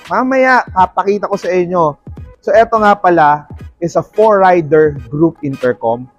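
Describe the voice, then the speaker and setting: A man's voice: high-pitched, a little slow. A man with a Filipino accent giving a playful, salesman-like product review in casual chat, mixing in English terms. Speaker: vlogger presenting a product unboxing. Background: music.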